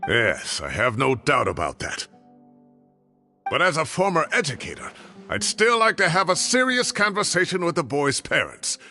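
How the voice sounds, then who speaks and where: An actor playing a fussy, indignant retired professor of advanced age, grumbling and theatrical, in a game scene with faint music. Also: male voice, on the high side, sing-song, moderate pace, pushed and bright, exaggerated voice. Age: older.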